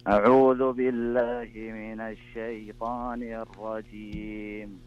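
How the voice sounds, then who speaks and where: A man calls in to a radio show and recites verses in a slow, measured way. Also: on the low side, level tone, slight background noise. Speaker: caller. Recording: dull lo-fi phone line.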